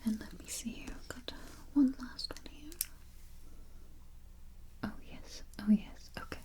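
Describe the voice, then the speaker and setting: A woman doing a soft, breathy, mostly whispered ASMR roleplay as a politely morbid vampire travel agent, murmuring with dry understatement close to the microphone. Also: high voice, a little slow. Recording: faint hiss, close keyboard typing and clicks. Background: typing, clicking and gentle tapping.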